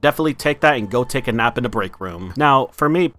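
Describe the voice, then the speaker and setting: A man with a monotone voice giving casual, sardonic, profane opinion commentary to viewers in a deadpan way. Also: medium pitch, fast talker. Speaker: video creator. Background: background music.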